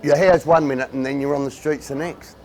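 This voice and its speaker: A man's voice: fairly high pitch, steady tone, brisk, a little mellow, slight background noise, poor audio. A man with an Australian accent, speaking plainly and hesitantly in a documentary interview.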